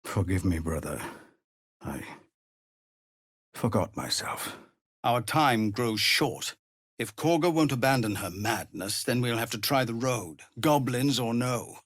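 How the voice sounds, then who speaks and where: Weary, honourable tiefling paladin leader, game drama. Slow, measured, grave delivery, dry studio sound. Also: male voice, mid-range voice, fairly bright tone, dry recording. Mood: contrite. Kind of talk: video game dramatic voice acting.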